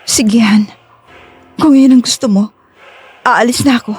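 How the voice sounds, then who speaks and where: Woman acting as guarded fugitive, high-pitched voice. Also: a little slow, slightly rough. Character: young woman fugitive, guarded, hiding her anger. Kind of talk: Filipino audio drama acting.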